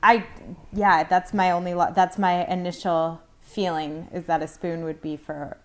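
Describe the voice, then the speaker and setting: A woman's voice: on the low side, level tone, unhurried. A woman co-hosting a podcast, giggly and tentative as she thinks aloud in casual banter. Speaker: podcast co-host.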